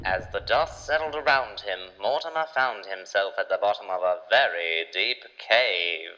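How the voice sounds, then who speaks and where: A man's voice: on the low side, normal speed, pushed and bright, long reverb tail, dull audio, slight background noise. A man narrating an adventure serial in a dramatic, scripted storytelling style. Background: music.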